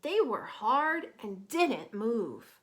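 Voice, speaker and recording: A woman reading a children's storybook aloud in an expressive, careful way, pausing to explain things to young listeners. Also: a little high. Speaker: teacher reading a picture book to children.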